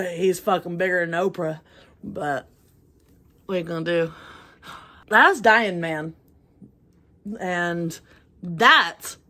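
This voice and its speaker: A woman's voice: on the low side, bright, forceful tone. A woman from rural Texas co-hosting a casual album review, blunt and profane, laughing often. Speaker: music review co-host.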